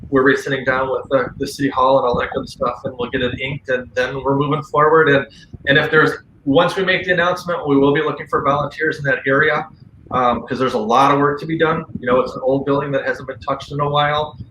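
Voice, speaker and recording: A man's voice: medium pitch, fairly even intonation, fast, pushed and bright. A man talking casually and earnestly as a guest on a paranormal radio show, with firm opinions. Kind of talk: casual conversational talk. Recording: roomy echo, faint background noise.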